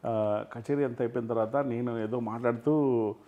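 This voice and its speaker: A man's voice: medium pitch, steady tone, normal speed, phone-quality sound. A man speaking as a film industry guest in an interview, often switching into English phrases. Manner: mixes English phrases into his talk. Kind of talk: animated interview talk.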